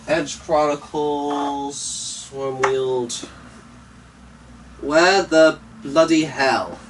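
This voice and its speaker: Slow, rambling man, distant mic. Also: a little high, fairly bright tone. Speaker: vlog host. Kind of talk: rambling casual chat to viewers. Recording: distant mic with faint background noise.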